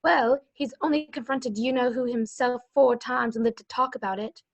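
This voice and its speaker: Woman acting as high-voiced, assertive teenage schoolgirl. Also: fairly even intonation, normal speed, a little hiss or hum, natural voice. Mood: admiring. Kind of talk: amateur online table read.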